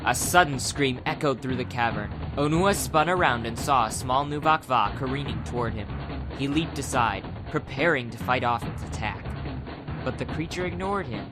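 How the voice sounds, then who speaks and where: A man's voice: mid-range voice, moderate pace. A man narrating a scripted fantasy adventure audiobook in a dramatic storytelling style. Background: music.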